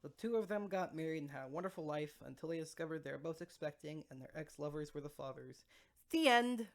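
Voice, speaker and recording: A woman with a low-pitched voice reads fiction aloud as a podcast host. Her delivery is that of an amateur storyteller, with the occasional stumble over a word. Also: lively intonation, fairly quick. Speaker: podcast co-host reading short stories aloud.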